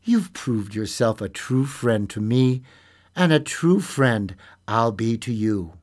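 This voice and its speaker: A man voicing a kind, loyal male friend in a told story, speaking earnestly and slowly. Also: medium pitch, animated, a little mellow. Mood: sincere. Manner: earnest, slow.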